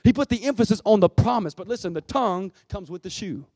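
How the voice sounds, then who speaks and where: A man's voice: high voice, fast talker, mellow, dry recording, studio quality. A man preaching an impassioned Pentecostal sermon, emphatic and exhorting, repeating phrases and throwing out rhetorical questions.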